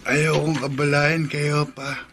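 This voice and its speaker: A man playing a guilt-ridden young adult son in a radio drama, speaking slowly and heavily. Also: on the high side, little pitch movement, fairly bright tone, a little hiss or hum. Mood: withdrawn. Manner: slow, heavy, remorseful.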